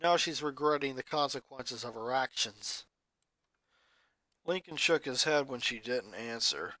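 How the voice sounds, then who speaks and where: A man reading a fanfic aloud, narrating in a steady, even way. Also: medium pitch, measured pace, dry recording. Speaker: fanfic reader. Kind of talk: story narration.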